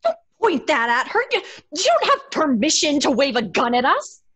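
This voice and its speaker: Worried bossy young sister, high lively voice, dry close sound, horror audio drama. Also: female voice, moderate pace, dry recording. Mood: alarmed, scolding. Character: anxious, scolding young daughter, the more level-headed sister. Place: home, on a video call.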